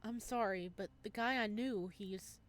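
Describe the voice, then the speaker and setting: A woman voicing an awkward, puzzled young college man in a visual novel, halting and apologetic. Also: medium pitch, lightly acted. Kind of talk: visual novel character read-aloud.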